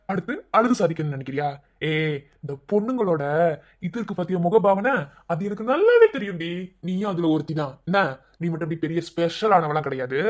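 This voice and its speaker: A man's voice: a little high, a little mellow, natural voice. A man voicing a leering, predatory male villain who gloats and menaces. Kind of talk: audio drama acting.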